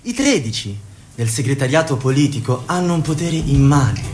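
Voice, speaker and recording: A man's voice: medium pitch, expressive, normal speed, darkish tone, a little hiss or hum, close to an everyday voice. A man acting as a grave chorus narrator in a radio drama, with a declamatory delivery. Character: grave male chorus narrator describing ruthless power. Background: music.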